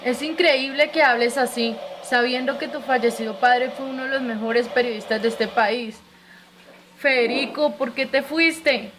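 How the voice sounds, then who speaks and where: A woman's voice: high voice, fairly even intonation, a little slow, background noise. A woman playing an insistent, anguished widowed mother in an amateur radio drama. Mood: reproachful.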